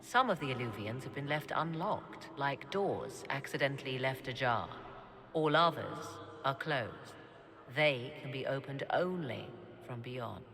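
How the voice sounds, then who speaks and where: An actress playing a cryptic, self-assured sorceress in a fantasy video game, speaking deliberately and theatrically in archaic phrasing. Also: female voice, a bass voice, expressive, full character voice. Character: cryptic, self-assured sorceress, adult woman with arcane knowledge.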